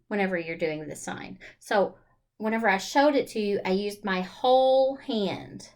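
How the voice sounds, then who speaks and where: A woman hosting a storytime for young children, talking in an encouraging, exaggerated child-directed way with questions and pauses. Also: mid-range voice.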